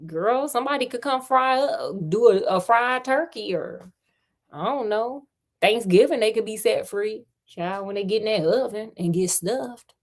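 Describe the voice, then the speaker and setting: Woman, American, high voice, storyteller, sassy asides, casual and chatty reading. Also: normal speed. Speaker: storyteller and host. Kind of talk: reading a fairy tale aloud with casual joking commentary.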